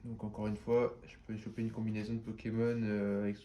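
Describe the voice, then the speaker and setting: A man's voice: on the low side, monotone, fairly quick, warm tone, slight background noise. A man with a stuffy head cold, casually explaining his moves in a card game match with many fillers. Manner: chatty, explains each move with many fillers. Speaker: card game player and channel host.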